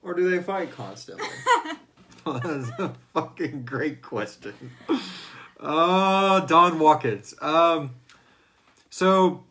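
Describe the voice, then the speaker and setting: A man's voice: fairly high pitch, expressive, extremely slow, pushed and bright. An American man chatting casually and jokingly as host of a livestreamed fan Q&A. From Houston, United States. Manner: joking, informal, breaks into playful voices. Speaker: host of a fiction series fan Q&A, its writer.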